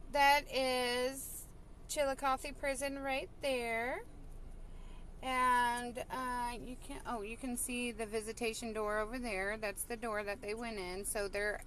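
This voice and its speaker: Woman in her 30s live streaming from her car, slow easy pace, lots of ums, faint hiss in the background. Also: high-pitched, pushed and bright. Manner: chatty, reads out viewer comments, frequent fillers. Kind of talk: casual live stream chat. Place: parked car outdoors. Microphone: phone held at arm's length.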